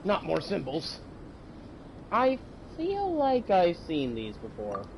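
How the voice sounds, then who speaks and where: A man's voice: high voice, huge pitch swings, slow pace, gravelly, background noise. A man casually commentating a livestreamed video game, reacting and talking back to it as he plays. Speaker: game streamer. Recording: loud game audio behind voice. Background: game music and effects.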